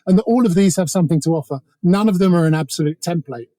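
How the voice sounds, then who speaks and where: A man's voice: high voice, fast, warm tone, dry recording, clean studio sound. A middle-aged man with an English accent gives conversational analysis as an interview guest, discursive, opinionated and wry. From England.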